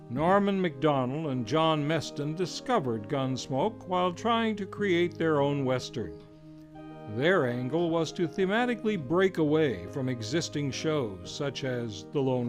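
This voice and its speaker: An American man announces a radio show in a grand, theatrical old-time style. His voice sits fairly high for a man. Guitar music plays clearly behind his studio narration.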